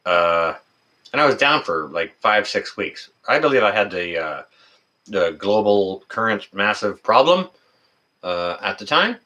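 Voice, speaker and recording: Male, 50s, deep but forward and bright voice; folksy, rambling, unscripted livestream chatter with a halting flow. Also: sing-song. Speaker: livestream host. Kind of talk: casual livestream chat.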